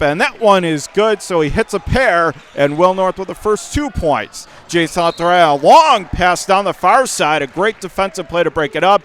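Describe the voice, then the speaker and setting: Man calling high school basketball play-by-play. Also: clean tone. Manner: rapid, rising to shouts on big plays. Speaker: radio play-by-play announcer. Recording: radio broadcast call, ball bounces behind.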